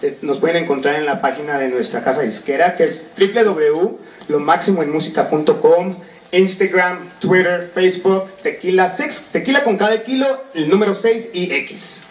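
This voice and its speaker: A man's voice: high-pitched, normal speed. A man from Michoacán, a band member promoting his group, talking eagerly into a handheld mic. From Michoacán, Mexico. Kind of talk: promotional interview talk. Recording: roomy, distant, dull sound.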